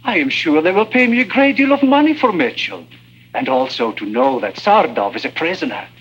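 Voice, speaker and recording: A cold greedy double-crosser, lively pitch, husky, old muffled spy show sound. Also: male voice, fairly quick. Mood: coldly menacing. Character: greedy, treacherous male assistant turned informer. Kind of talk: early 1950s spy drama acting. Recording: dull vintage 1950s soundtrack.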